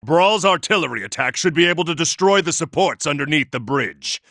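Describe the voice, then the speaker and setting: An actor playing a commanding, impatient giant robot military tactician in a video game, with a gravelly, raspy voice and a forceful delivery. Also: male voice, on the high side, animated, fairly quick, no echo, studio quality, full character voice. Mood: commanding, tense. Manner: forceful, barking orders. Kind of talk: video game character acting.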